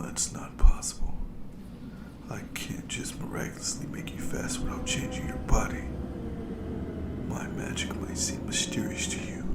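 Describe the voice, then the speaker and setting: A performer voicing a cruel, playful, ageless monster in a whispered audio roleplay, with a breathy, creaky voice and a slow, taunting, savoring delivery. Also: mid-range voice, reverberant, recorded from a distance. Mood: teasing.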